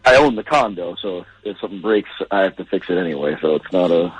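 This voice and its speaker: A man with a husky, mid-pitched voice talks casually on a phone call. His delivery is halting but swings widely in pitch, with faint music behind. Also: lively intonation. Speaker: call recipient.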